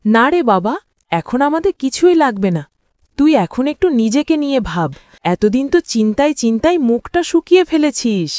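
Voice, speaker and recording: A woman's voice: a little high, sing-song, moderate pace, darkish tone, no echo. Doting old mother for a family cartoon, played by a woman; fond, fussing delivery, dry studio-close sound. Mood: tender, relieved. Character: doting elderly mother of a grown son. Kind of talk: cartoon drama dialogue.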